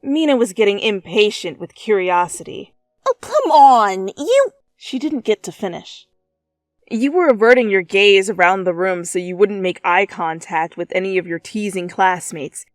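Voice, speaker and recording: A woman's voice: mid-range voice, normal speed, dry recording. A woman reading fan fiction aloud as a dramatic second-person story narration. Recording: close, dry, music behind.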